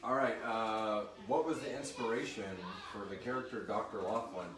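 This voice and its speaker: A man's voice: lowish voice, moderate pace, a crowd in the background. A man hosting an informal, jokey live Q&A as a podcast creator, reading questions and chatting through the answers. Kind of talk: casual live Q&A chat. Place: room with group on live stream. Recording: roomy, distant mic, noisy live stream.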